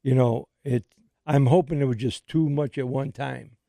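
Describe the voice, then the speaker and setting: An older man hosting a radio show in casual chat, his voice slightly raspy, rambling with many restarts. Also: mid-range voice, steady tone, moderate pace, mellow, no echo, clean studio sound. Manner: rambling, restarts often, laughs easily.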